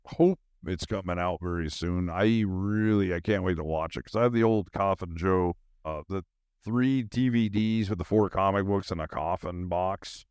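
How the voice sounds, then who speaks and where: A man's voice: deep voice, a little mellow, dry recording, clean studio sound. A man hosting a solo podcast in a casual, rambling monologue, with a goofy manner and silly asides.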